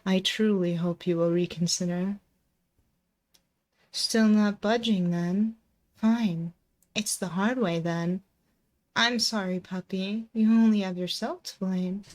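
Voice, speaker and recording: A possessive female demon, played by a woman, speaks slowly and intimately, right up at a binaural mic. Her medium-pitched voice teases and coaxes in a condescending, controlling way, in the manner of an ASMR girlfriend roleplay. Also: fairly even intonation, a little mellow, natural voice. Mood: coaxing, ominous. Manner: slow, coaxing, condescending pet-name teasing. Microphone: close binaural microphone.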